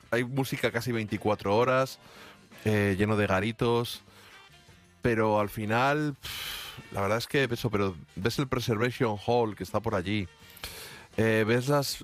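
A man, co-hosting a podcast and chatting casually in a hesitant way, with many restarts. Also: medium pitch. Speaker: podcast co-host. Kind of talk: casual chat.

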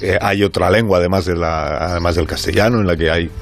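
A man with a Spanish accent from Spain, hosting a conversational interview with halting phrasing and tag questions. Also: low voice, steady tone, soft background music. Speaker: interview host.

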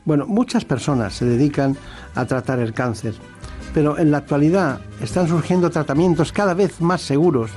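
A male radio host with a fairly high, mellow voice. He presents and questions conversationally, circling back over his phrases, with faint music behind him. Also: normal speed. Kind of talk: health interview with introductory narration.

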